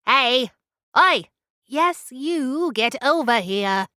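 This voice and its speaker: A woman's voice: sits high, animated, drawn-out words, bright, forceful tone, smooth, dry recording, clean studio sound, exaggerated voice. A woman playing a curt tiny ship captain. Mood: brusque. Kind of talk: audio drama acting.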